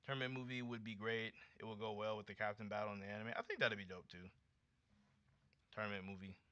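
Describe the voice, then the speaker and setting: An American man in his late twenties hosting a casual livestream, chatting with viewers in a slangy, animated, conversational way. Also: on the low side, quick pace, dry recording. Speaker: livestream host. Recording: dull lo-fi streaming audio.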